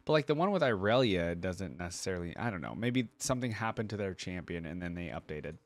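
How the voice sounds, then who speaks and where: Woman, very deep voice, lively intonation, informal reaction commentary, musing aloud, dry close sound. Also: fast, dry recording. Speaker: reaction video host. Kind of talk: casual commentary.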